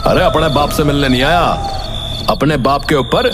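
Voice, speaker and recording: A man's voice: a little high, moderate pace, lightly acted. A man voicing a stern village police inspector, explaining in a brusque, accusing way in a cartoon. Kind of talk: cartoon voice acting. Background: music.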